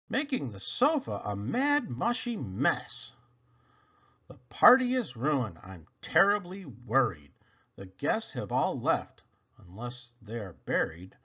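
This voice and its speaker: A man reading children's poems aloud in a theatrical, playful way, with dramatic emphasis and pauses. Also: on the high side, bright, forceful tone, husky, highs cut off. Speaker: reader of children's poems for a read-aloud channel.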